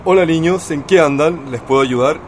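A man's voice: on the high side, moderate pace, music in the background, natural voice. A man playing a helpful computer-centre attendant with a Chilean accent in a radio drama.

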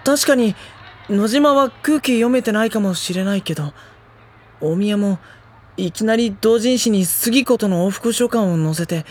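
An actress playing a mild, reluctant teenage schoolboy in an audio drama, hesitant but given to dry, exasperated retorts. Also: female voice, mid-range voice, darkish tone, close to an everyday voice. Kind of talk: Japanese audio drama, anime-style acting.

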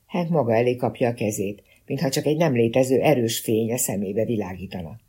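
A man reading a first-person detective novel as a scripted audiobook narration, with a husky voice. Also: medium pitch, warm tone.

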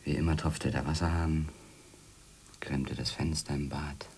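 A man playing a haunted, bitter, obsessive former editor in a radio drama, brooding in halting literary monologue that often sinks toward a whisper. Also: a bass voice, steady tone, darkish tone, a little hiss or hum. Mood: bitter, anguished.

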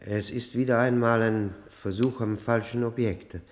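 An actor playing a broken, despairing long-term convict in a radio drama, speaking haltingly and repeating his words as he falters. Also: mid-range voice, fairly even intonation, close to an everyday voice. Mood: weary, bitter.

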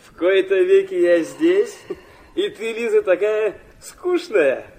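A man's voice: sits high, slow, a little mellow. An actor playing a hot-tempered, tormented young student who acts out and narrates his confessions in a literary radio play. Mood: peevish, teasing. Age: young adult. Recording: studio radio drama with music behind.

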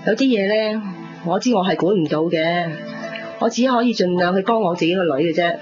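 A woman's voice: lowish voice, fairly quick, lightly acted. A woman playing a protective middle-aged mother in a Hong Kong Cantonese radio drama, speaking in a pointed, controlled, sarcastic way. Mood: stern, guarded. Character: protective, sharp-tongued middle-aged mother, guarded and stern. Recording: dull 1990s radio recording, music behind.

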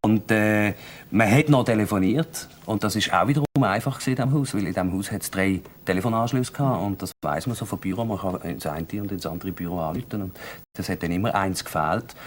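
A man explaining a case in an old TV interview, growing animated when he stresses a point. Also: deep voice, quick pace, a little hiss or hum. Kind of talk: archival TV interview.

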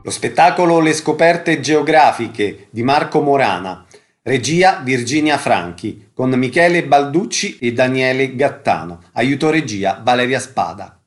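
Male radio announcer, mid-pitched, scripted show credits over faint music. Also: expressive, unhurried.

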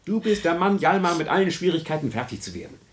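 An actor playing an earnest, zealous idealist in a radio play, exhorting and preachy. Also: male voice, a little high, sing-song, fast talker. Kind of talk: classic German radio play acting.

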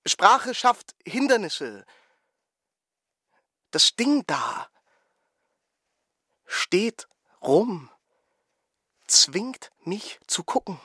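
An eccentric male lecturer in an absurdist radio monologue. His voice is bright and forceful with swooping intonation, declaiming slowly and haltingly in a very dry space. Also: sits high, sing-song, slightly rough, no echo, exaggerated voice. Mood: pedantic, agitated.

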